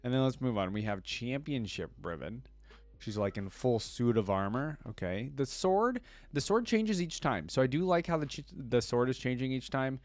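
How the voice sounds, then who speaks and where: A man's voice: mid-range voice, lively intonation, moderate pace, no echo. A man giving casual, slangy reaction commentary as a video host, thinking aloud as he goes.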